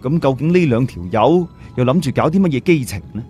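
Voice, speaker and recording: A man's voice: mid-range voice, animated, fairly quick, darkish tone, soft background music. A man narrating a scripted audiobook story as a storyteller.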